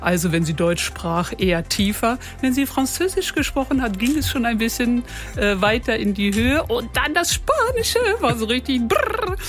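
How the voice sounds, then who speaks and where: A woman working as a voice coach, answering interview questions in animated storytelling. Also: a little high, normal speed, faint music behind. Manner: animated storytelling, warm and lively. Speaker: voice and singing coach.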